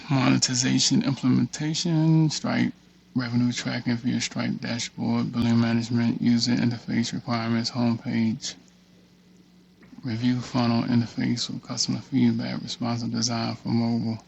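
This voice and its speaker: A man's voice: medium pitch, level tone, normal speed, creaky, darkish tone, a little hiss or hum, poor audio. A man hosting a casual tutorial, reading a document aloud and muttering offhand asides to himself as he works. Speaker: tutorial host. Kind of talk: casual screen-share walkthrough, reading a document aloud with off-the-cuff remarks.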